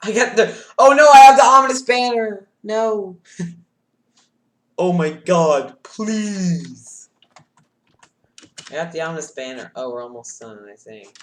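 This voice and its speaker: A man streaming a game live, reacting excitably with yelps and shouts, keyboard clicking behind him. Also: high-pitched, a little slow, long pauses, roomy sound, a little hiss or hum. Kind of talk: live gameplay commentary. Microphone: desk mic with audible keyboard.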